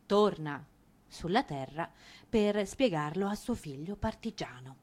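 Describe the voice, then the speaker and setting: Very animated woman narrating on stage. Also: on the low side, husky. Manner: ironic, expressive, with dramatic pauses. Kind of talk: theatrical narrated monologue.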